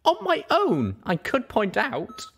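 A man's voice: very high voice, wildly swooping pitch, slow pace, gravelly, a bit bright, a little hiss or hum, pitched above the performer's own voice, full character voice. A man voicing a young female pony adventurer in an earnest, pleading manner. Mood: defensive.

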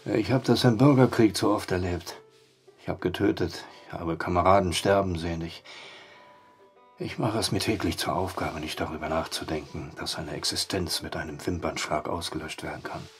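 An actor playing a gruff, sceptical war-veteran barkeeper in an audio drama, blunt, down-to-earth and husky. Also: male voice, low-pitched, moderate pace, faint music behind. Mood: haunted, subdued.

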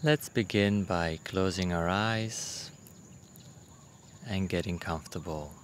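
A man leading a guided meditation in a gentle, unhurried manner, with long pauses between short phrases. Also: deep voice, slight background noise. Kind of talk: guided meditation, soothing instruction.

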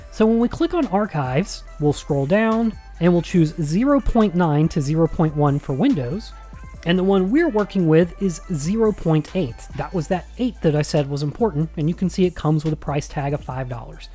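An American man in his thirties presents a tutorial with a mellow, dark timbre. His voice sits a little high for a man, and he explains in a conversational, emphatic way. He is close to a studio microphone, with music in the background. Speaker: instructor and video host. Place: small home studio room. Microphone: close studio mic on boom arm.

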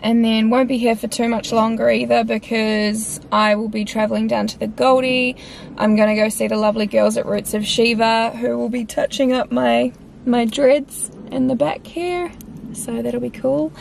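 A young Australian woman talks casually to camera from inside a car. Her voice sits fairly high and breaks into vocal fry. She is upbeat and slangy, with a little noise behind her. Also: steady tone, normal speed. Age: young adult. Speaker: travel vlogger.

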